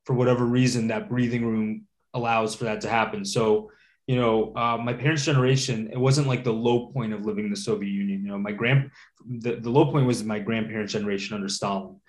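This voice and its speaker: Thoughtful man from Latvia, fairly low. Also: steady tone, a little fast. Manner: thoughtful, many fillers and restarts. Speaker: guest. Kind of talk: casual interview conversation.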